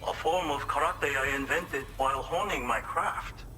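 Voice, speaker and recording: A man's voice: mid-range voice, normal speed, pushed and bright, soft background music, close to an everyday voice. A man playing an eccentric, grandiose pizza chef in a video game cutscene, speaking formally and dramatically. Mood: earnest, pompous. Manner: pompous, formal, dramatic phrasing. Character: eccentric, grandiose pizza chef with theatrical martial-arts zeal.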